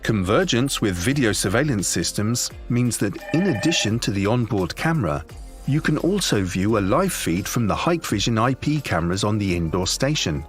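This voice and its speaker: A man reading scripted corporate product narration in a measured, formal presentational manner. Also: lowish voice, sing-song, soft background music. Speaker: promotional video narrator.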